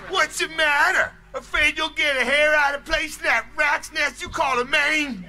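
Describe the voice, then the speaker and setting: A man doing a high, gravelly boastful unicorn mare. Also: fairly even intonation, normal speed. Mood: taunting. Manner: taunting, showy. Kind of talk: live staged reading. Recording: live stage recording with music and crowd.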